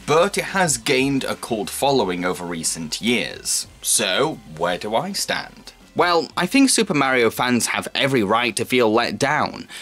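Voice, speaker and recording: A man's voice: medium pitch, fairly bright tone. Male film reviewer narrating over music. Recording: music under the voice.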